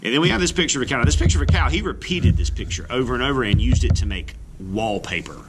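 A man reading a children's book aloud as an art teacher, adding expressive explanatory asides. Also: mid-range voice, fast, very noisy. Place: indoors, book filmed on a table.

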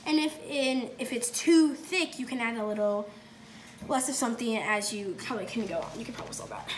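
A woman's voice: fairly high pitch, fairly quick. A young woman hosting a casual home cooking video, chatty and upbeat, with lots of fillers and self-corrections, recorded on a phone in a kitchen. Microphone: phone camera across the counter. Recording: echoey kitchen with noise.

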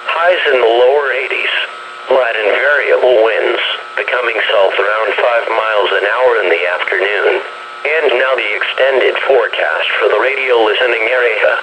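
A man's voice: mid-range voice, normal speed. A synthetic man's voice reads a scripted weather forecast as an automated announcer over a weather radio. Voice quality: synthetic text-to-speech. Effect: weather radio broadcast. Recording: noisy radio speaker playback.

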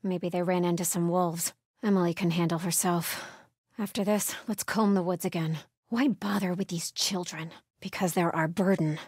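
An actress playing a devout, watchful cult sentry in a video game, speaking in a solemn, measured, pious way with a husky voice. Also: female voice, lowish voice, fairly even intonation, a little mellow, dry recording, clean studio sound. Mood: solemn vigilance. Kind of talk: video game ambient dialogue.

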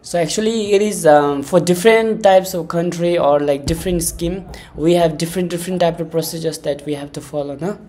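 A man in his twenties hosting a casual interview in a halting, wordy way, often repeating himself. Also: on the high side, a little mellow. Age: 20s. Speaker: podcast host, interviewer.

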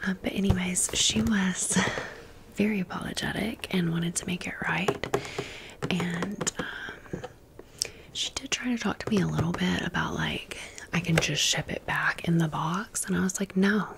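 An American woman hosting an ASMR storytime in a breathy whisper, with a casual, sassy, slangy way of talking. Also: lowish voice, a little slow, heavy background noise. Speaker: ASMR video host.

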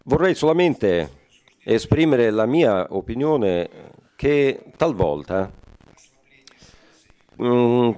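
A man interpreting a forum speech live, halting often with fillers and correcting himself mid-phrase. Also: medium pitch, a little mellow. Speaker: conference interpreter.

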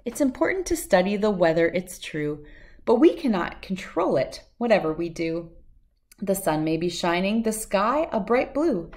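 A middle-aged woman reads a children's picture book aloud at a medium pitch. Her delivery is measured and follows the rhyme with a sing-song lilt. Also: sing-song, darkish tone.

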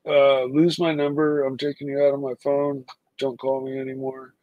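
A man on a video call, chatting casually in halting sentences with many fillers. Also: mid-range voice, flat delivery, a little mellow, no room sound, dull audio. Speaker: livestream co-host. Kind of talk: casual chat.

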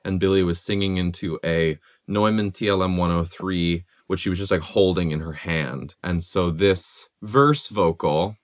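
A young man with a deep, low voice talks a listener through how a song was produced. He keeps it conversational and loose, full of "like" fillers. The sound is dry and close, with no room echo. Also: normal speed, few pauses. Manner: relaxed, conversational, many "like" fillers. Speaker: music producer. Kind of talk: explaining a song's production. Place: home music studio with guitars and keyboards.

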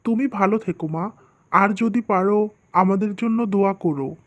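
A woman's voice: mid-range voice, normal speed, dull audio, close to an everyday voice. A woman voicing an earnest, worried young husband and dutiful son in a scripted cartoon, speaking plainly. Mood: resolved.

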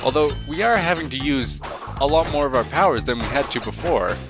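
A man's voice: medium pitch, lively intonation, a little fast. A man with a cold, sniffling a bit, giving rambling, playful commentary while he plays a video game. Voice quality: rambling, self-talking, playful jokes at the game. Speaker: let's play host. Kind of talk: casual gameplay commentary. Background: loud game music.

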